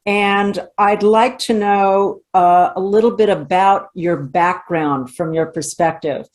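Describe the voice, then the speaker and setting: A woman from Texas speaks as the host of an interview show. Her pitch sits in the middle for a woman. She puts her questions in a conversational style. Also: steady tone, takes it slowly. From Texas, USA.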